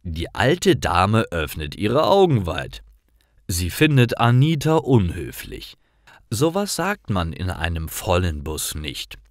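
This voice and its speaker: Man, scripted story narration, unhurried, careful phrasing, very dry close recording. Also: low-pitched, very animated, dry recording. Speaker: storyteller for German learners. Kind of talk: scripted story narration, simple learner sentences.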